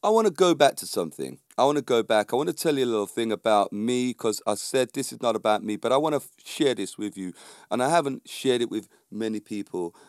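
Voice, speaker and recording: A man's voice: medium pitch, lively intonation, fast, no room sound, clean studio sound. A middle-aged man with a London accent, talking informally and emphatically to viewers as a fitness instructor, often checking in with short rhetorical questions. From London, England. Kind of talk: informal motivational monologue to viewers.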